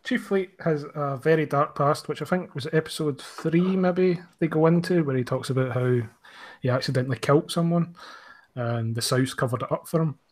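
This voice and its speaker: Slightly high-voiced man explaining casually. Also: normal speed. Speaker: audio drama creator on a panel.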